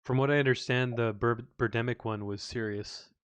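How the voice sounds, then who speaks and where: A man's voice: medium pitch, fairly even intonation, normal speed, clean tone, no room sound. Hesitant man, dry close sound. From Hungary. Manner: hesitant, restarts his sentences. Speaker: podcast co-host. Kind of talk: casual chat.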